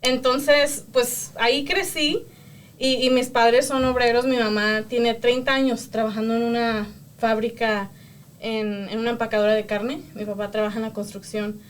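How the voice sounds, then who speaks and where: A woman's voice: a little high, moderate pace. A woman with a Mexican accent, co-hosting a podcast in casual chat, telling stories and laughing often. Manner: warm, laughs often, lively storytelling. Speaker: podcast co-host.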